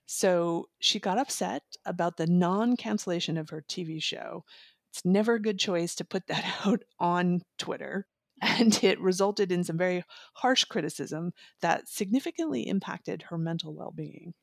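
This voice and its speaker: A woman's voice: fairly low pitch, moderate pace, vocal fry, no room sound, clean studio sound. A woman giving a conversational book review as a guest on a talk show.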